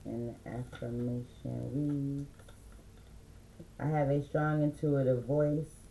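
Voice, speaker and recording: A man giving a relaxed, meandering spiritual card reading, reading affirmations aloud slowly, with many fillers. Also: medium pitch, echoey room, slight background noise, rough recording. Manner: slow, reads affirmations aloud. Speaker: spiritual reader.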